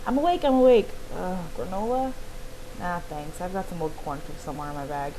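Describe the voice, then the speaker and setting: A woman reading aloud the game banter of blunt young horse riders in an animated, exclamatory way. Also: on the low side, fairly quick, close to an everyday voice. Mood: teasing, lively. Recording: clearly noisy home recording.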